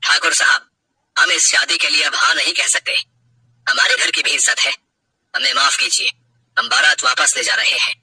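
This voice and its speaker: A man's voice: on the high side, moderate pace, pauses often, bright, forceful tone, husky, dry recording, full character voice. A man playing a proud, formal father of a groom in a dramatic story. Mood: indignant.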